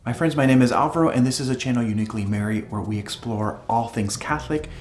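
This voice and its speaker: A man's voice: medium pitch, heavy background noise. Earnest man hosting devotional videos. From El Salvador. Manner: earnest, reverent, unhurried. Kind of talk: devotional storytelling and reading aloud.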